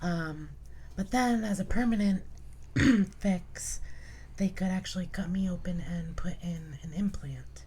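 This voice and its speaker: A woman in her thirties with a low, breathy voice from vocal cord paralysis, chatting as a podcast guest in halting short bursts and running out of breath. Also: a little mellow. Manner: halting, explains in short chunks, runs out of breath. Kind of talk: casual chat. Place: houseboat.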